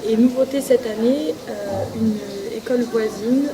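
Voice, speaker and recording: A woman's voice: a little high, moderate pace, a crowd in the background, poor audio. Hesitant 21-year-old woman student. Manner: hesitant, many fillers. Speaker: student association president. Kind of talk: casual presentation to camera.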